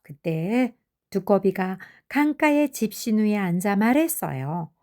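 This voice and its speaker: A woman narrating children's fairy tales in an expressive, measured storybook manner. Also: medium pitch. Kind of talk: animated fairy tale narration.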